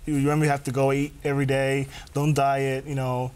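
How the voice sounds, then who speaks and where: A man's voice: mid-range voice, flat delivery, fast, a little mellow, clean tone. A young man from Puerto Rico answering hesitantly, often repeating words. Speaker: student. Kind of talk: interview answers.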